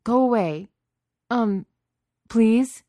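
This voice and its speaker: A woman's voice: fairly low pitch, normal speed, darkish tone, no echo, clean studio sound, lightly acted. A woman voicing a huge but timid, apologetic young male beast in a story, hesitant and stammering, with teenage slang. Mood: flustered, desperate. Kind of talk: audiobook character voice.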